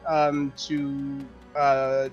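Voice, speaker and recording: A man's voice: medium pitch, monotone, extremely slow. A man talking casually as a player during a tabletop roleplaying game. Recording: slightly dull lo-fi mic, music behind.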